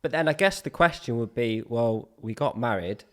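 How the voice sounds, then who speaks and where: A man's voice: mid-range voice, expressive, normal speed. A man co-hosting a casual podcast with his wife, rambling and self-deprecating. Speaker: podcast co-host. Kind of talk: casual chat.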